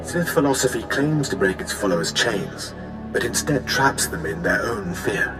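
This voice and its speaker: An actor playing a serene ancient Sith sage speaking as a hologram in a video game, measured and solemn, with an echoing effect. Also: male voice, medium pitch, expressive. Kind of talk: video game cutscene acting. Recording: echoing voice over game music.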